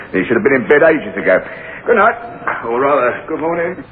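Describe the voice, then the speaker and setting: Nosy british copper in old radio play, chatty, swoopy pitch, tinny n bit noisy. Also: male voice, sing-song. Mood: jovial. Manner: folksy, unhurried, nosy. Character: chatty, faintly suspicious male police constable on night beat. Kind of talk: old-time radio drama acting.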